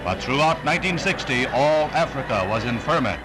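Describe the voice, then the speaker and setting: A man's voice: mid-range voice, animated, normal speed, over loud music, rough recording. An American man narrating a scripted 1960s newsreel in a dramatic, authoritative announcer's delivery, on a vintage soundtrack.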